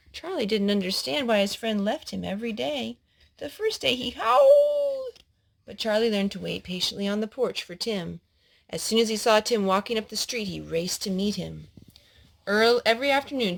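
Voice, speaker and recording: Animated woman reading a storybook aloud. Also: mid-range voice, creaky. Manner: animated, child-directed, pausing to explain and ask questions.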